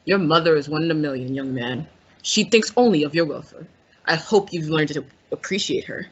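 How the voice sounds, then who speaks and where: A woman playing a pompous, paternal older businessman in an old-time radio drama, with a husky voice. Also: sits low, normal speed, dull audio. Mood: stern, paternal. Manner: pompous, formal.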